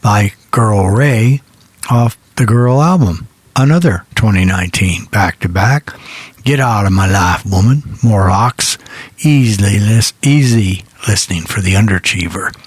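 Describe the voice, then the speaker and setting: A man's voice: lowish voice, a little slow, slightly rough, no echo. A man hosting an internet rock radio show, chatting in a rambling, folksy, off-the-cuff way and repeating his phrases in a studio. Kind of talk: casual, ad-libbed rock radio DJ chat.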